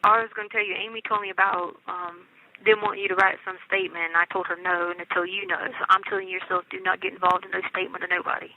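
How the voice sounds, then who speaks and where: A woman's voice: on the low side, level tone, racing. A middle-aged American woman chatting casually on a phone call, colloquial and insistent, often checking that she is understood. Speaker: inmate on a jail phone call. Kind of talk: casual personal phone conversation. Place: recorded jail phone call. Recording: tinny, narrow telephone line.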